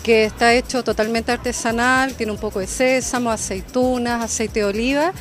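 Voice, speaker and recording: Woman calmly recommending a dish. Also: a little high, normal speed, soft background music. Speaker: food stall cook. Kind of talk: interview answer.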